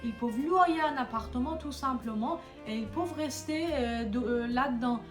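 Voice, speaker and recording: A fairly high-voiced woman talks casually to camera, earnest and hesitant, pausing and correcting herself often. She sounds a little distant from the mic, with clear music playing underneath. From Iran. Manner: earnest, hesitant, many pauses and self-corrections. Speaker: vlogger. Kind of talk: casual vlog talk to camera.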